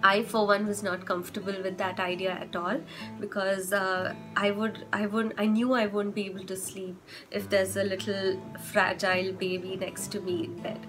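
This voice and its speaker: A young woman vlogging with chatty parenting advice, her voice hoarse from a cold, often stopping to rephrase with fillers. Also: mid-range voice, flat delivery, normal speed, over music. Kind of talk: casual advice vlog.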